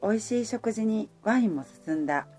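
A woman's voice: mid-range voice, animated, brisk, darkish tone, faint music behind, close to an everyday voice. A woman playing a romantic, easily flustered working woman, in radio drama dialogue and first-person narration. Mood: warm, reflective.

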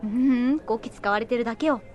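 A woman playing a lively, encouraging editor friend in her thirties in a Japanese radio drama. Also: a little high, fairly quick, lo-fi sound, faint music behind, lightly acted. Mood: cheerful.

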